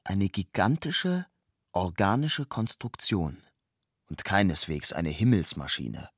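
Male narrator, low register, measured literary delivery, very dry recorded sound. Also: very animated, husky, no echo. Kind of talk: literary narration, quoting and storytelling.

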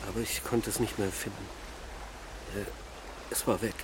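A man acting as a restless middle-aged father in a German radio drama. His low voice is hushed and reflective, feeling its way through a confession. Clear ambient noise sits behind him. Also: fairly even intonation, normal speed, close to an everyday voice. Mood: dreamy, wistful.

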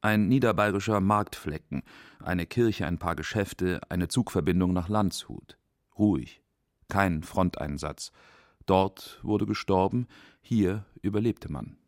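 A man's voice: low voice, a little slow, dry recording, studio quality. A man reading scripted narration for a radio documentary feature.

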